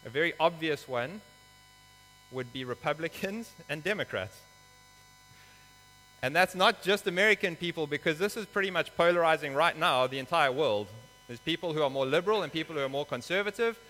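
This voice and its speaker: A man's voice: a little high, lively intonation, brisk, sharp tone. A man from Africa, preaching a sermon in a church in an earnest, explanatory way with some hesitant fillers. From South Africa. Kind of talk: sermon with scripture reading. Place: church hall. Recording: reverberant hall with mains hum.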